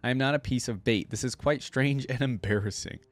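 A man's voice: mid-range voice, brisk, no echo, lightly acted. A young man voicing a flustered, indignant small blue male creature from a fantasy story.

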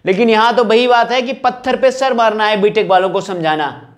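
A man's voice: high voice, brisk. A man speaking insistently in an informal update to exam candidates, like a coaching teacher appealing to students. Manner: insistent, repeating rhetorical questions and appeals.

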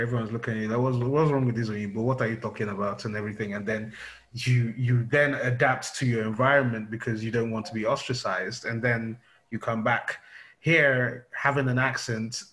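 A man's voice: medium pitch, a bit bright, low-quality recording. A man in his fifties with a London accent, interviewing over a video call with many fillers and restarts. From United Kingdom. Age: 50s. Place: indoor room at home. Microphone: video call webcam.

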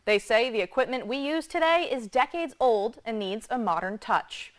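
Young woman anchoring the news, slightly high voice. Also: animated, normal speed. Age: young adult. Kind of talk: scripted news reading. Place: TV news studio.

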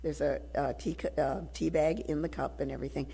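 An older woman with a hoarse, low voice today, giving rambling, grateful church announcements at a lectern mic in a sanctuary. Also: fairly even intonation, fairly quick, dark tone. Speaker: congregation member giving announcements. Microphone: lectern microphone.

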